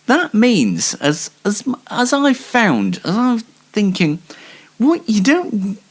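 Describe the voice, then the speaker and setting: A man presents a casual live monologue with bits of news commentary. His voice sits a little high and swings widely in pitch. He speaks haltingly, with wry, self-deprecating humour. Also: huge pitch swings. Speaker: host of an online show.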